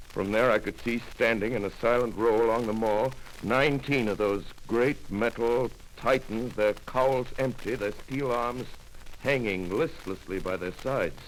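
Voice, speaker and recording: A man's voice: on the low side, bright, forceful tone, slight background noise, poor audio. A man playing a grave astronomer in a radio drama. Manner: grave, halting, reflective. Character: solemn male astronomer survivor writing his account. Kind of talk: 1930s radio drama narration.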